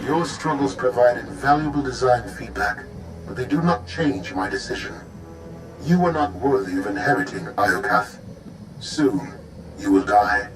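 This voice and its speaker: An actor playing a cold, condescending AI caretaker in a video game, speaking in a formal, measured, haughty way. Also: male voice, medium pitch, close to an everyday voice, poor audio. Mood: cold menace. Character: cold, condescending male artificial intelligence caretaker of a world. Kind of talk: video game cutscene acting. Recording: music behind the voice.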